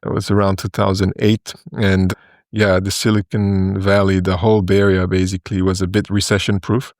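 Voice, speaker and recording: A man in his forties from Ethiopia, a consultant guest explaining his work in a podcast interview, in short measured phrases with fillers. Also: low voice, level tone, darkish tone, no room sound, studio quality.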